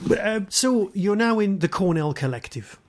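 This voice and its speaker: Male podcast interviewer, slightly high voice, casual chat style with tongue-in-cheek delivery. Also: animated, unhurried, a little hiss or hum.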